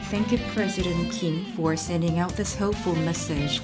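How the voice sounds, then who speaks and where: A woman with a very low voice moderates a forum session. She thanks speakers and introduces the next one in a polite, formal tone, with clear music in the background. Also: steady tone, moderate pace. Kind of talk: scripted moderation.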